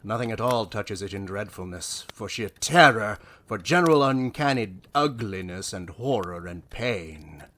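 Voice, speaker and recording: A man playing a grave gentleman storyteller in an audio drama, speaking in a formal, theatrical way. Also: on the low side, lively intonation, a little slow, hardly any pauses, exaggerated voice. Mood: grave, haunted.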